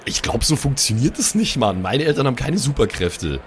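Young superhero buddy, animated and slangy with English catchphrases, man acting, role-play audio with background noise. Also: mid-range voice, brisk, natural voice. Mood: skeptical, teasing.

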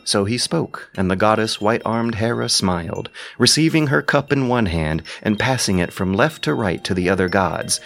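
A man's voice: fairly low pitch, lively intonation, normal speed, vocal fry, hardly any pauses, fairly bright tone. A man reading scripted narration as narrator and commentator.

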